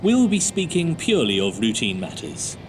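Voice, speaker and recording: A man's voice: mid-range voice, huge pitch swings, moderate pace. An ominous office boss played by a man speaks with extremely swooping intonation over noisy ambience. He is coolly overbearing and stiffly, formally polite, in audio drama style.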